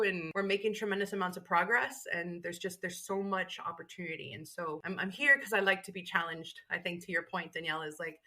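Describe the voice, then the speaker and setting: Fast-talking, bright-voiced middle-aged woman. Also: medium pitch. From Brazil. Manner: animated storytelling with frequent restarts. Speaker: guest, multicultural marketing strategist. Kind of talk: conversational interview.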